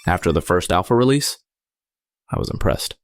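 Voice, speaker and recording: A man's voice: sits low, big pitch swings, normal speed. An actor playing a man who narrates an eerie first-person account about his programmer friend in an audio drama. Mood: confiding. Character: male storyteller recounting his programmer friend's eerie tale. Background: music.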